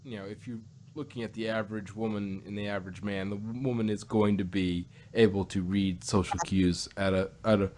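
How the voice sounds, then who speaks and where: A man co-hosting a podcast, talking casually about a show in a halting, rambling way with frequent restarts. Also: lowish voice, steady tone, darkish tone, slight background noise. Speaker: podcast co-host.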